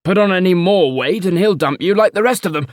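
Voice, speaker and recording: A man reading an audiobook and voicing a loud, boorish middle-aged uncle who chortles and brays. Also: high voice, animated, brisk, no echo, clean studio sound, voice pushed up. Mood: braying. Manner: braying, chortling.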